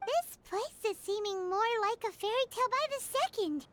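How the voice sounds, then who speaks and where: A woman voicing a tiny, childlike floating fairy companion in a video game, squeaky and chirpy, with music behind. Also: expressive, moderate pace, a bit bright. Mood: cheerful. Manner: chirpy, speaks of self in third person.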